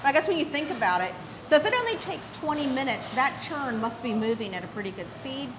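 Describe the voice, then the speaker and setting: A woman's voice: high-pitched, big pitch swings, brisk. A middle-aged woman hosting an interview, asking inquisitive questions conversationally and recapping what she hears. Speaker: interviewer, host. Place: farm and indoor creamery room. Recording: clear background equipment noise.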